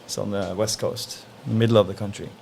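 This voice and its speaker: Gravelly low-voiced man, relaxed guest. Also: slight background noise. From Norway. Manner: relaxed, thoughtful, frequent "uh" pauses. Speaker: interview guest. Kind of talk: casual interview answers.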